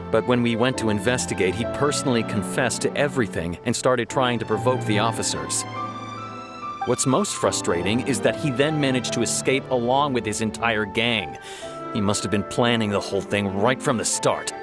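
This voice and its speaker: An actor playing an earnest, formal police officer giving a briefing in a video game. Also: male voice, lowish voice, close to an everyday voice. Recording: loud game music behind the voice.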